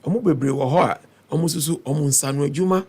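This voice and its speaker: A Ghanaian man with a hoarse voice, earnestly explaining his plans as a parliamentary aspirant in an interview. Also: on the high side, fast. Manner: earnest, persuasive, steady explaining. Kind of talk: interview answers mixing Twi and English.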